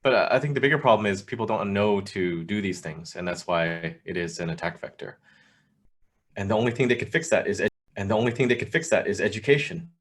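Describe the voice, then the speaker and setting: A man's voice: lowish voice, expressive, quick pace. A man co-hosting a casual live talk show who jokes and teases the others. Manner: joking, teasing. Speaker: podcast co-host.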